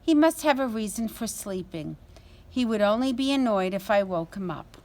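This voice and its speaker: A woman voicing a modest, steady tortoise in a children's storybook, speaking in a plain, unassuming way. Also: medium pitch, normal speed, natural voice. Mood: thoughtful.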